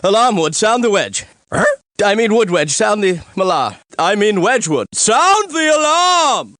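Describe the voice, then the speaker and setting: A man voicing a fussy, pompous middle-aged gentleman and sore loser in a cartoon, given to flustered stammering. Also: high-pitched, sing-song, a little slow, a little hiss or hum, heavily acted. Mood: panicked. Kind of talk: animated comedy dialogue.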